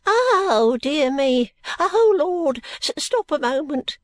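A woman's voice: sits high, sing-song, slow, no room sound, clean studio sound, exaggerated voice. A woman voicing a breathless, wheedling and spiteful old male moneylender in a storybook reading. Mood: fretful, alarmed. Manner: fawning endearments breaking into angry curses.

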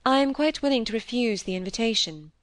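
Woman putting on a demure, high-voiced young lady. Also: animated, fairly quick, no room sound, lightly acted. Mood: coolly demure. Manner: demure, quiet, poised. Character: cool, demure young woman, slyly wilful daughter.

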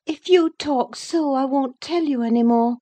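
A woman's voice: high-pitched, animated, moderate pace, mellow, pitched above the performer's own voice. A woman voicing a headstrong, anxious young upper-class woman in a dramatised reading of a classic novel, impulsive and insistent. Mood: irritated, insistent.

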